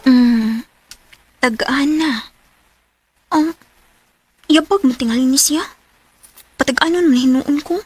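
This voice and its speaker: A woman's voice: sits high, slow, pauses often, no room sound. A woman acting a shy, giddy teenage schoolgirl in a radio drama, with a Cebuano accent. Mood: curious, giddy.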